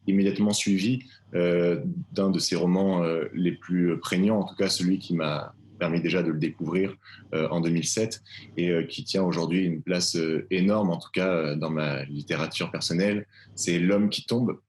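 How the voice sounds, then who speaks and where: A man presenting his stage adaptation live, speaking in measured, literary phrasing with frequent fillers. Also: low voice, fairly even intonation, a little mellow, a little hiss or hum. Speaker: theatre director presenting his play. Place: live video call.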